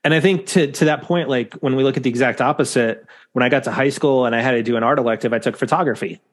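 A man's voice: mid-range voice, quick pace. Male podcast host with a mid-range voice, easygoing and rambling, full of ums. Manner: rambling, lots of fillers. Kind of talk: casual chat.

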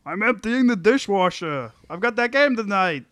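A man's voice: sits high, animated, moderate pace, a bit bright, no room sound. A man playing a whiny, put-upon sitcom husband and father in a comedic table read, with an exasperated, pleading delivery. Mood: defensive, exasperated.

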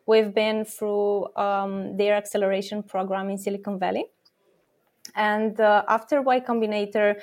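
A woman's voice: mid-range voice, darkish tone. Woman explaining earnestly with fillers. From Romania. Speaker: startup co-founder and product officer guest. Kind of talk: interview answers.

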